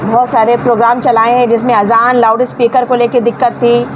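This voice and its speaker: High-pitched indignant woman over clear background noise. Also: level tone, brisk. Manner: indignant, scolding, emphatic with rhetorical questions. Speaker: news commentator. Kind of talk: informal opinionated news commentary.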